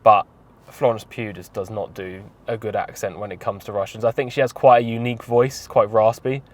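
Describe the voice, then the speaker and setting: A man's voice: fairly low pitch, fairly even intonation, a little fast. A young man giving a casual, rambling film review with wry offhand asides, talking from a car. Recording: road and traffic noise. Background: clear car and street noise.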